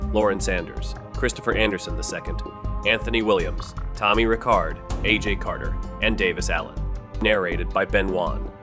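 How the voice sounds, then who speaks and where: Low-pitched male narrator over music. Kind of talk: scripted audio drama narration.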